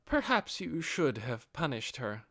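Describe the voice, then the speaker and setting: Man as devoted female guardian, high lifted voice, polite, earnest, deferential, swooping intonation, fantasy audiobook. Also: very animated, unhurried, a bit bright, full character voice. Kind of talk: audiobook character acting.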